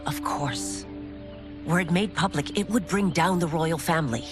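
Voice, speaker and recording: A woman's voice: fairly low pitch, normal speed, close to an everyday voice. An actress playing a stern, honourable warrior woman in a video game, solemn, resolute and formal, with dramatic delivery. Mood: solemn regret. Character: stern, honourable woman warrior, former royal guard turned constable. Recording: music behind.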